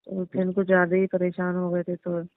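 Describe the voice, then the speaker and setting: A woman playing a shy young daughter-in-law who speaks in a hesitant, halting, conversational way in a staged phone-call drama. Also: on the low side, completely flat delivery, dry recording, close to an everyday voice. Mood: confiding, wistful. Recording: muffled narrow-band phone-line sound.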